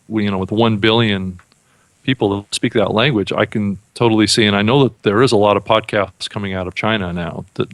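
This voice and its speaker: A man hosting a podcast, talking in a casual, halting way and repeating words as he thinks. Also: lowish voice, a bit bright, no echo, low-quality recording. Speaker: podcast host. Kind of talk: casual chat.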